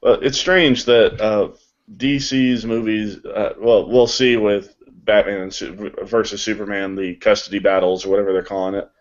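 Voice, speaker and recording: A man's voice: lowish voice, steady tone, normal speed, lo-fi sound. A man chatting casually as a panel guest, wry and self-deprecating. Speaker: voice actor on a panel. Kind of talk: casual unscripted panel chat.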